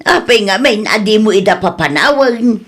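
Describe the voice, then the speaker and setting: A woman's voice: mid-range voice, lively intonation, moderate pace, slightly rough. A woman playing a sympathetic, outspoken older neighbour in a radio drama, concerned and gossipy, with an Ilocano accent.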